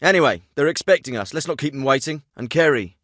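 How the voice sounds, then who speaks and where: Bright, forceful male military commander. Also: a little high, animated, fast, dry recording. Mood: dry, measured. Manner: clipped, authoritative, dry sarcasm. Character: brisk, stern special forces captain, sardonic and commanding. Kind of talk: video game military dialogue, radio orders. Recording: radio-filtered, band-limited clips with faint static.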